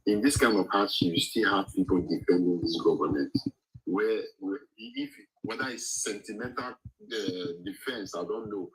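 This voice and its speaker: Nigerian man, very low husky voice, reflective debater. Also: sing-song, moderate pace, a bit bright, poor audio. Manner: reflective, explains with examples. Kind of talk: casual debate.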